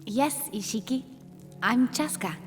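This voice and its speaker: A woman playing a selfless, unrepentant outcast weaver in a video game, telling her story in plain, measured words. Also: on the high side, lively intonation. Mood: guarded, frank. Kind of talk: video game cutscene dialogue. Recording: long reverb, music behind.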